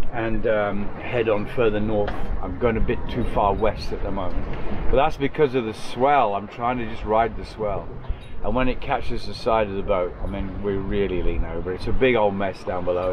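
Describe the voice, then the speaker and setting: An older man sailing alone, talking casually to camera from a boat's cockpit at sea, rambling plainly with many restarts. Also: on the low side. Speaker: solo sailor vlogger. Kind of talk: casual vlog commentary. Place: outdoors in the cockpit of a sailboat at sea. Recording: muffled camera audio with loud wind and sea noise.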